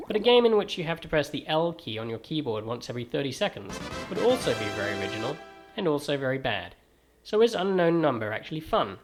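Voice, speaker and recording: Wry transatlantic man with lively delivery. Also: a little high. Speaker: video game reviewer. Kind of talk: scripted review narration.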